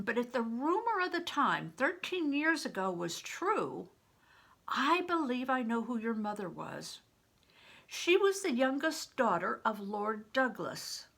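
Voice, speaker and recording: A woman's voice: sits high, pushed and bright, voice pushed up, exaggerated voice. A woman voicing an earnest older widow in a storybook reading, giving urgent, pleading warnings with heavy emphasis. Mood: confiding, grave. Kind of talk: audiobook character reading.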